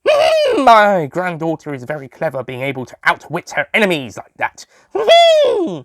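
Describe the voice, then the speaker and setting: An eccentric old grandfather from an audio drama, put on by a man. He is proud and tetchy, hums to himself, and leaps up in pitch on emphatic words. The recording is dry. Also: wildly swooping pitch, normal speed, fairly bright tone, no echo, full character voice. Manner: hmming, complaining, emphatic.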